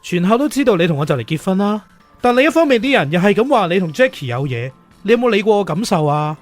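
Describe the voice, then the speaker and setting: Casting: man as stern, worried male teacher and fiancé, 31, Hong Kong Cantonese, rapid and swooping delivery, radio drama. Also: high voice, very animated, faint music behind. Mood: hurt, pleading. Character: stern, jealous thirty-one-year-old male teacher, worried fiancé.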